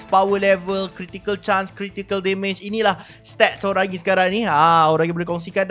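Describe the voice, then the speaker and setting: A man with a Malaysian accent giving excited, animated gameplay commentary with roleplay, full of exclamations and drawn-out words. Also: sits high. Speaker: gaming YouTuber.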